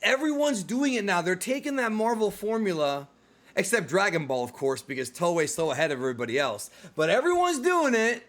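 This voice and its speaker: A man in his thirties with a slightly high-pitched voice hosts a casual podcast chat. He talks in a conversational, opinionated way, like someone discussing films with a fellow fan. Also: sing-song, brisk, a bit bright. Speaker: host of a film discussion show.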